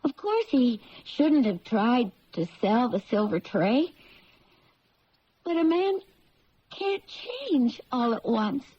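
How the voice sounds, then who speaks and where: A woman's voice: on the high side, big pitch swings, takes it slowly. An actress playing an earnest young woman pleading emotionally in an old radio drama. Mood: slow, heartfelt. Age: young adult. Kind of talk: 1970s radio drama acting. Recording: muffled, noisy old radio broadcast.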